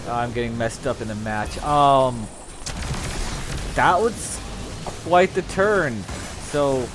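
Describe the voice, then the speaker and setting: A man hosting a casual live stream, talking to chat in a halting, unhurried way. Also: mid-range voice, over music. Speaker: game streamer, host. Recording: loud game gunfire behind voice. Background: video game gunfire.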